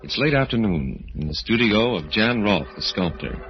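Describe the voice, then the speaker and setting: An actor playing an ominous radio horror announcer and narrator, grave and dramatic, in 1940s style. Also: male voice, on the low side, very animated, normal speed. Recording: muffled old radio recording, music behind.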